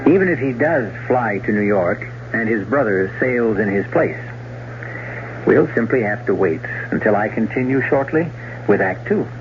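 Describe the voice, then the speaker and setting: A man hosting and narrating a radio mystery in a measured, dramatic, wry and suspenseful style. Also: on the low side, lively intonation, noisy background. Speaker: host and narrator. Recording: muffled old radio recording. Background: loud music.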